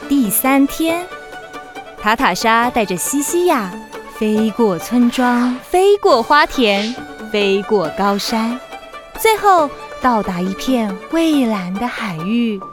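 A woman's voice: a little high, big pitch swings, slow. A woman narrator reading a scripted children's story. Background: music.

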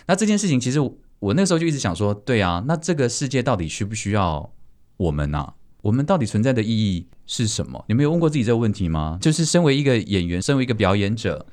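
A man with a Taiwanese Mandarin accent hosting a casual panel chat in a playful, teasing, expressive way. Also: lowish voice, fast. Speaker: podcast host.

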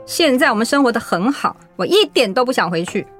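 A woman's voice: a little high, very animated, a little fast, faint music behind. A woman playing a resentful, stubborn wife in a radio drama, speaking insistently. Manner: sharp, insistent.

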